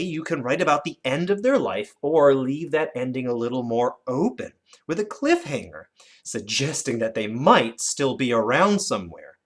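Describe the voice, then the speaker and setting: A teacher giving an instructional lesson for children, with animated, expressive storytelling emphasis. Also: medium pitch. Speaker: elementary teacher.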